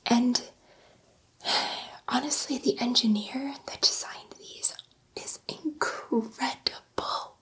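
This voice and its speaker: Gentle, chatty female alien scientist, acted by a woman for sci-fi ASMR; high, breathy whisper, hesitant delivery. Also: heavily acted. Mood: wistful, admiring. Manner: hesitant, rambling, confiding.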